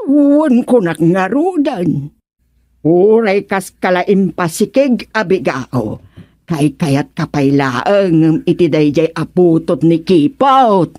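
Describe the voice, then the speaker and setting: A woman's voice: on the low side, lively intonation, slow pace, warm tone, dry recording, studio quality. A woman playing a doting mother giving advice in an Ilocano radio comedy-drama, with an Ilocano accent. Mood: reassuring. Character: doting, advising mother of a marriageable daughter.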